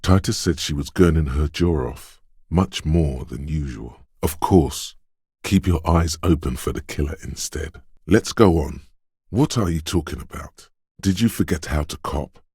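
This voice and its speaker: Very deep, knowing inner-voice game narrator. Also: male voice, normal speed, a little mellow, husky, no room sound, clean studio sound, heavily acted. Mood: watchful, knowing. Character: detective's inner voice of quick reflexes, alert, wry narrator. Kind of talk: dramatic video game narration.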